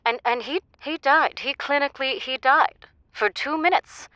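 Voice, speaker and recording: A woman's voice: high voice, moderate pace, bright, forceful tone, no echo. A woman playing a dramatic, shameless, much-divorced caller in a comedy call-in show, with theatrical emphasis and repetition. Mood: proud, dramatic. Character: dramatic, unrepentant much-divorced woman, shameless about her love life. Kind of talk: improvised comedic call-in character acting.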